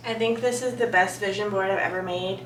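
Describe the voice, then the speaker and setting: A woman in her late twenties talking casually to her viewers in a relaxed, chatty vlog from her home office. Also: medium pitch, fairly quick, echoey room, slight background noise, poor audio. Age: 20s, about to turn 30. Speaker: vlogger.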